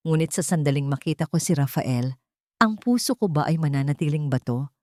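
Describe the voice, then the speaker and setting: A woman narrates a dramatic first-person story in a solemn, measured, emotive way. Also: low-pitched, lively intonation, mellow, dry recording, clean studio sound.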